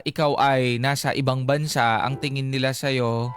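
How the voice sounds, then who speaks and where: A man's voice: medium pitch, fairly even intonation, normal speed. A Filipino man hosting a radio advice show, talking casually and emphatically with rhetorical questions. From Philippines. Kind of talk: casual radio talk, mixing Tagalog and English. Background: music bed with guitar or instruments.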